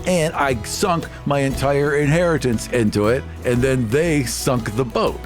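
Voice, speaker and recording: Proud, wronged merchant captain played by a man, mid-pitched, earnest and dramatic, tabletop roleplay over music. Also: measured pace, natural voice. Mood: vengeful. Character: aggrieved, proud merchant ship captain bent on revenge.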